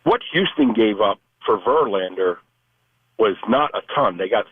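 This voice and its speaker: Over a thin, narrow telephone line, a man calls a sports show. His voice is fairly low and rough around the edges, and he talks casually. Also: animated, a little slow, no room sound. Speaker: caller to a sports radio show. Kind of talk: casual sports call-in talk. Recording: tinny, narrow phone line.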